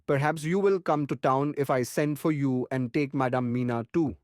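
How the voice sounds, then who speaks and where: An actor playing a kindly, courtly old doctor in an audiobook, speaking formally and earnestly. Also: male voice, medium pitch, normal speed, darkish tone, no echo, clean studio sound, natural voice. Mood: earnest request. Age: older. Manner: earnest, courtly, formal, quaint foreign phrasing. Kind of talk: audiobook character acting.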